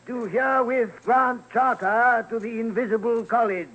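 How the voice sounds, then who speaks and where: Radio drama king: regal, formal, declamatory, pitched well up. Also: steady tone, normal speed, fairly bright tone, lo-fi sound. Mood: stately proclaiming. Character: Charles II, stately regal English king issuing a decree.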